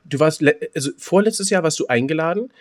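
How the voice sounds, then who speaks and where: A man's voice: on the high side, animated, brisk. A man co-hosting a podcast in casual banter, chatty and slangy, hopping between half-finished sentences. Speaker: podcast co-host.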